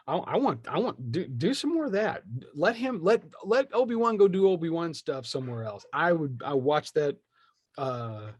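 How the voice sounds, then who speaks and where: A man's voice: medium pitch, slightly rough, no room sound. A man in a casual podcast chat, opinionated and rambling as he thinks aloud. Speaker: podcast speaker discussing film franchises.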